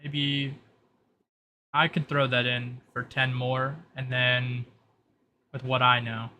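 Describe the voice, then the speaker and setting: A man's voice: mid-range voice, level tone, takes it slowly, sharp tone, slight background noise. A man voicing a shrewd masked informant in a tabletop game, musing as he names prices for secrets. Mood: calculating, musing.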